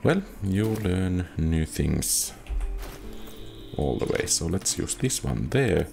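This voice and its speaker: A man's voice: sits low, expressive, slow pace, gravelly, over music. A man giving casual gameplay commentary, thinking aloud as he sorts through items. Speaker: gameplay streamer.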